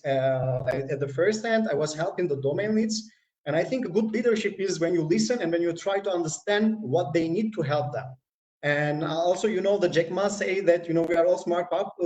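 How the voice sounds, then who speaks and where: Guy on a video call, kinda high voice, lots of "you know", sounds far off in an echoey room. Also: a little mellow. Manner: hesitant, many fillers and restarts. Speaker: community manager. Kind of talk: live-stream conversation.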